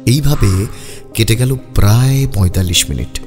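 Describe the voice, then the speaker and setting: A man's voice: fairly low pitch, moderate pace. A man reading scripted narration for a detective audio story. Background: music.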